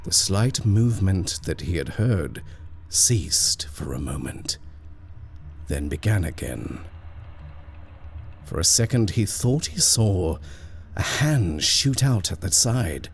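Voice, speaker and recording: A man narrating a scripted horror story in a measured, hushed, deliberate way. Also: sits low, very animated, a little hiss or hum.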